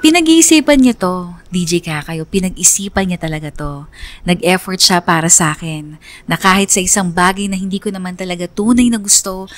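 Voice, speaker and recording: A woman's voice: lowish voice, a bit bright, close to an everyday voice. A woman playing a lovestruck, conflicted young woman narrating her own story in a confiding radio drama style. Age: young adult. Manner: confiding, earnest.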